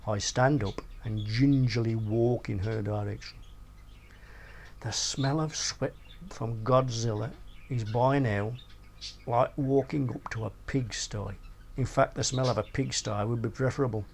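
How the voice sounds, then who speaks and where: A man's voice: mid-range voice, fairly even intonation, a little slow, slight background noise. A man with a British accent reading a comic first-person short story in a theatrical, sweary way.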